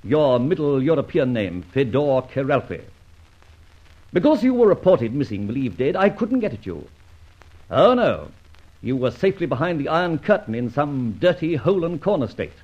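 An actor playing a suave, vengeful gentleman adventurer and ex-officer, speaking with theatrical, mocking irony in an old radio drama. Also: mid-range voice, sing-song, moderate pace. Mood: accusing, scornful. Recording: muffled old radio recording.

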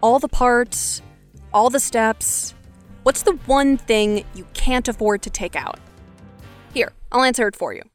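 A woman's voice: on the high side, a little slow, faint music behind. A woman hosting a podcast, talking through a scripted explainer in a direct, instructive way. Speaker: podcast host.

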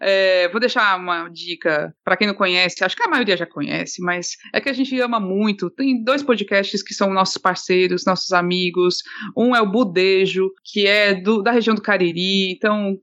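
A chatty woman speaks as a podcast guest, mid-pitched and casual, often stumbling and restarting her phrases. The audio is dull and lo-fi. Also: moderate pace, fairly bright tone.

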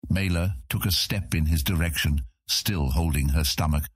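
A man's voice: very deep voice, moderate pace, breathy edge, no echo, studio quality. A man narrating a scripted fantasy audiobook as its storyteller.

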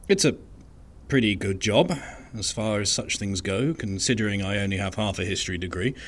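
A man playing an unassuming, wry and resigned archivist in an audio drama monologue, speaking in a dry, understated, conversational way with hesitant pauses. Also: medium pitch. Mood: wryly resigned. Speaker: narrator of an audio fiction podcast.

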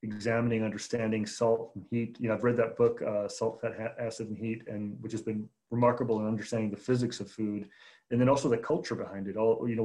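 A man in his forties from Chicago, a journalist answering interview questions in a measured way, with many fillers and restarts. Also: lowish voice, warm tone. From Chicago, United States. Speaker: journalist guest.

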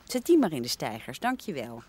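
A woman playing a brisk, bossy editor-in-chief in a radio comedy, curt and businesslike as she directs her staff. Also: a bass voice, huge pitch swings, mellow, slight background noise, full character voice. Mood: brisk, commanding.

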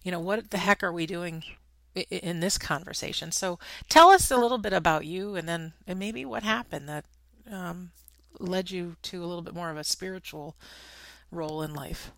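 A woman's voice: fairly low pitch, normal speed, vocal fry, a bit bright, no echo. A woman hosting an interview podcast, upbeat and emphatic as she introduces and questions her guest. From Connecticut. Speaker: podcast host.

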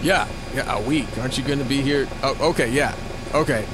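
A man's voice: medium pitch, fairly quick, noisy background, natural voice. A man playing an upbeat but slightly nervous reality competition host in an audio drama, with a presenterly, at times stammering delivery. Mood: flustered, evasive. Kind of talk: audio drama acting, show narration. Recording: music behind the voice.

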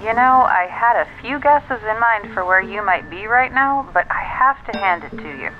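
A woman's voice: fairly high pitch, expressive, normal speed, soft background music. Dry-witted woman, dull phone line. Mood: dry, concerned. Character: frank, dry-witted woman friend delivering bad news. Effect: phone filter. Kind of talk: naturalistic audio drama phone conversation.

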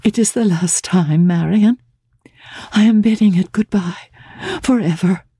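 A woman voicing a young, wretched but resolute gentlewoman in a storybook, earnest and impassioned. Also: mid-range voice, measured pace, husky, no room sound, clean studio sound, voice pushed up. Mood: sorrowful, resigned. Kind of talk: dramatic audiobook character reading.

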